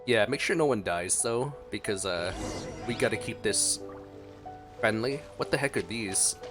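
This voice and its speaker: Guy streamer chatting over a game, jokey, unhurried, music playing behind. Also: lowish voice, lively intonation. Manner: jokey, playful. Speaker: gaming streamer. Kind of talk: casual reaction commentary over gameplay.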